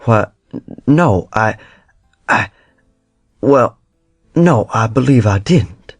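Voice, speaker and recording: A man's voice: fairly low pitch, expressive, extremely slow, dry recording, exaggerated voice. A man doing a mild, stammering old uncle. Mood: flustered. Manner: hesitant, stammering.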